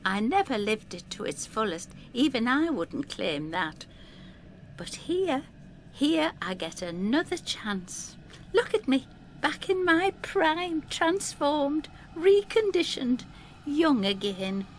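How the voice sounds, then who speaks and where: A woman's voice: high voice, very animated, slow pace, slight background noise, heavily acted. An actress playing a vague, spaced-out woman in her seventies, wistful and deluded, in an audio drama. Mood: wistful rapture.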